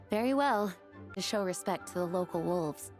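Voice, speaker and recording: A woman's voice: mid-range voice, lively intonation, slow pace, faint music behind. A woman playing a dutiful, gracious young knight commander in a video game, formal and courteous.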